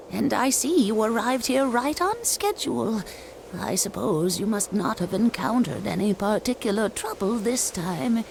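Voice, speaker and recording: A woman voicing a mysterious, knowing fortune teller in a game cutscene, speaking in a slow, cryptic, whispery way. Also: mid-range voice, vocal fry, full character voice. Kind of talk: video game cutscene acting. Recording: game audio with ambient noise.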